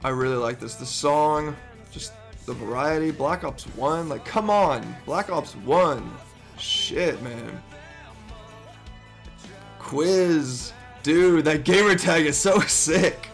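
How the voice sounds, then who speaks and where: A hoarse young man in his twenties, with his voice nearly gone, giving excitable, swear-filled reaction commentary from a headset in his bedroom. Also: a little high, takes it slowly, soft background music. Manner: excitable, swears often, stammers and laughs. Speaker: YouTuber reacting to a gaming montage. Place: bedroom indoors. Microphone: over-ear headset.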